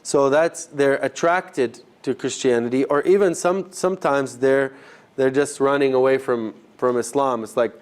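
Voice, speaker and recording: Male ministry trainer, mid-pitched, informal classroom delivery, halting and conversational. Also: a little mellow, clean tone. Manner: conversational, halting, rephrasing as he goes. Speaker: ministry trainer teaching a group. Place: live session with a group present.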